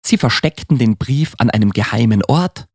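A male narrator reads a children's Christmas story with a lively, widely moving melody. His voice has a husky grain. The recording is dry and close, with very little room sound. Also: medium pitch, normal speed, no echo.

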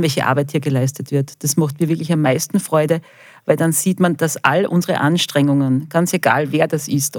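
A woman's voice: low voice, a little mellow, dry recording, studio quality. A middle-aged woman with an Austrian accent gives enthusiastic, colloquial interview answers to children as a school official. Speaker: school quality manager, interview guest.

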